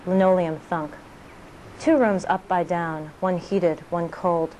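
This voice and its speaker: Low-voiced woman reading poetry. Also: steady tone, slow, darkish tone, slight background noise, poor audio. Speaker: poet.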